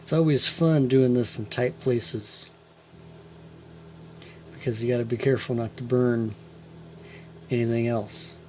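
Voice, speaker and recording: A man's voice: medium pitch, fairly even intonation, a little slow, pauses often. A man hosting a hands-on repair tutorial in an informal, folksy way, explaining step by step and thinking aloud. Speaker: host of a hobby electronics repair series. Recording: clear background noise, homemade video.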